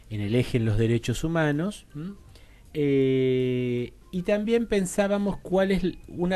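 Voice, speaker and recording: Argentine man, halting didactic explainer. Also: medium pitch, warm tone. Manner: didactic, halting, frequent checking tags. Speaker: interview guest, university teacher and researcher. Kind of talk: radio interview, academic explanation.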